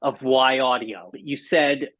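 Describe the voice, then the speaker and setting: A man speaking as a podcast guest, explaining his points articulately in conversational answers with emphatic pauses. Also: medium pitch, a bit bright. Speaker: podcast guest, audio industry marketing executive. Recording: dull, band-limited audio.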